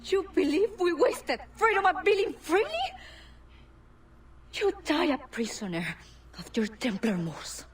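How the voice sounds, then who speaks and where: A woman's voice: high voice, big pitch swings, measured pace, a little hiss or hum. An actress playing a fierce, resolute rebel woman in a video game, solemn and intense. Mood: righteous anger. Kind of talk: video game cutscene acting.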